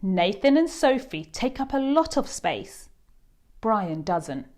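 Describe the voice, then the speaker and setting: A woman hosts a read-aloud for young children. She takes her time with each phrase and lets her pitch move in a lively, expressive way. She speaks directly to little readers as she narrates the storybook. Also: slow.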